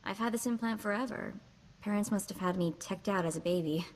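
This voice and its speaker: A woman's voice: mid-range voice, brisk, a little hiss or hum, lightly acted. A woman voicing a plucky, street-smart young slicer in a video game, wry and breezy, with clipped slangy talk.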